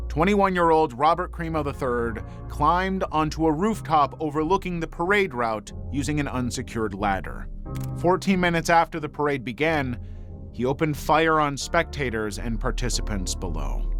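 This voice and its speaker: A man reading scripted true crime narration in a measured, dramatic way with deliberate pauses. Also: on the high side, a bit bright. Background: background music.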